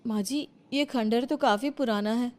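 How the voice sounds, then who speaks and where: A woman's voice: on the high side, normal speed, darkish tone, close to an everyday voice. Practical village housewife, acted by a woman. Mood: earnest. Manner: earnest, practical. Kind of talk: cartoon moral story dialogue.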